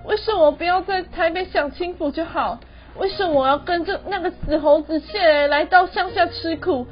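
A woman's voice: very high-pitched, normal speed. A teenage girl playing a proud, emotional young city wife who feels wronged, in an amateur radio drama with melodramatic delivery. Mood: despairing. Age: teens. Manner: melodramatic, code-switching English words into speech. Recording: muffled lo-fi recording with background music.

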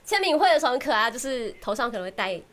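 A woman with a Taiwanese Mandarin accent chatting casually as a podcast co-host, animated and full of vivid examples. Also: a little high, very fast, a crowd in the background. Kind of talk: casual chat about fandom.

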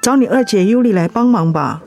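An actress playing a warm, reassuring close friend in a radio drama, expressive and encouraging. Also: female voice, medium pitch, fairly quick, soft background music, natural voice. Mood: concerned, reassuring.

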